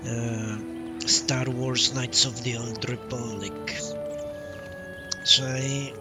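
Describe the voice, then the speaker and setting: A man's voice: medium pitch, flat delivery, extremely slow. A man giving casual live commentary on a game he is playing, musing and a little hesitant as he goes. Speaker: game streamer. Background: game music.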